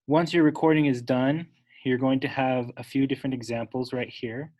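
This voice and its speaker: A man giving an unscripted, instructional step-by-step software tutorial, talking wordily and correcting himself as he goes. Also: mid-range voice, steady tone, normal speed, rough recording. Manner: instructional, wordy, with self-corrections.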